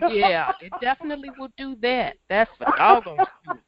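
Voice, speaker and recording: A man hosting a call-in radio show, hoarse from a cold, talking in a laid-back, chuckling, folksy way. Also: extremely high pitch, sing-song, moderate pace, muffled recording, over a noisy crowd. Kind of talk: casual call-in radio chat.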